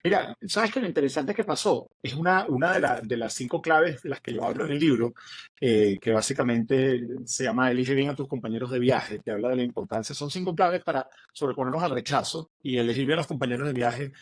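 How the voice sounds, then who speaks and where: A middle-aged man with a Venezuelan accent, an author telling his story as an interview guest, animated and acting out what others said. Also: medium pitch, breathy edge, dry recording. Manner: animated, fast, acts out quoted dialogue.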